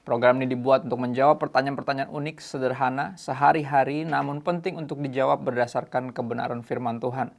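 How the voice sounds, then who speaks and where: A man's voice: medium pitch, steady tone, quick pace, creaky, hardly any pauses. An Indonesian man hosting a casual youth talk show, mixing English phrases into his speech. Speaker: host.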